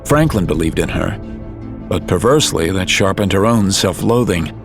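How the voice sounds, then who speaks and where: Deep-voiced male narrator over music. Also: expressive, moderate pace. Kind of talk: scripted audiobook narration.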